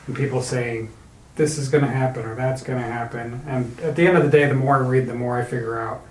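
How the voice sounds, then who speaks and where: A man's voice: medium pitch, fairly even intonation, fast talker, darkish tone. A man co-hosting a podcast in casual chat, blunt and swearing freely, with restarts as he goes. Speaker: podcast co-host. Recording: roomy with faint noise.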